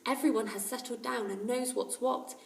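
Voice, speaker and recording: A woman voicing a lively, teasing, bossy schoolboy in an emphatic storybook reading. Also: fairly high pitch, moderate pace, creaky, pitched above the performer's own voice. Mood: grumbling. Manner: emphatic, exclamatory. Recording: roomy echo, distant microphone.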